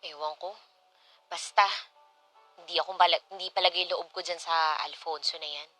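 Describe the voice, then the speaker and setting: Sulky grown daughter, bright voice. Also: female voice, lowish voice, steady tone, normal speed, soft background music, low-quality recording. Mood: sulky, annoyed. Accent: Filipino. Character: grown daughter, jealous and sulky, protective of late father's memory. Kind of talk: Filipino radio drama acting.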